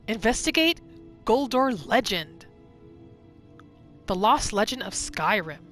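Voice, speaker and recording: A woman's voice: medium pitch, expressive, takes it slowly, fairly bright tone. Woman doing roleplay game commentary, casual storyteller, voice moves up and down a lot, faint music behind. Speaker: gaming YouTuber.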